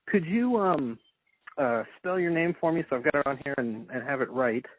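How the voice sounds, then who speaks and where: A man conducting a casual interview in a polite, tentative and halting way. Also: on the high side, expressive, no echo. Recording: tinny, phone-like archive interview audio.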